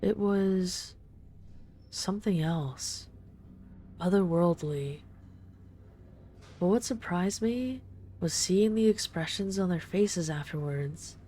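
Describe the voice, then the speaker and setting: Woman voicing a mellow, low-pitched, ageless vampire woman. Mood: wistful, reflective. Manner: unhurried, intimate, gently condescending. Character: ageless female vampire, boss's daughter, tender, wistful and commanding. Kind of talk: intimate scripted audio roleplay.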